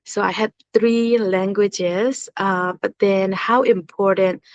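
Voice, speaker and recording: Slow, halting woman. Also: mid-range voice, steady tone, dry recording. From Burma. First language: Zaniat. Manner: halting, with many pauses and fillers. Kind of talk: personal testimony, recorded talk.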